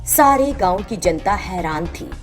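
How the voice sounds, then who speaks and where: A woman's voice: mid-range voice, expressive, measured pace, faint music behind. A woman narrating a scripted moral tale as the storyteller.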